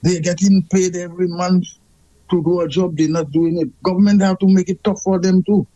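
A man's voice: high-pitched, flat delivery, brisk, dark tone, dry recording, muffled recording. A man with a Saint Lucian accent calling in to a radio show, talking emphatically and repeating himself as he complains. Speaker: radio caller. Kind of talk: call-in radio chat.

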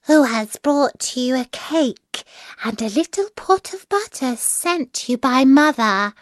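A woman's voice: high voice, animated, slow, no room sound, voice pushed up. A woman voicing a storybook wolf that fakes a sweet, innocent voice, exaggerated and coaxing. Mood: falsely sweet. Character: wolf faking a sweet little girl's voice.

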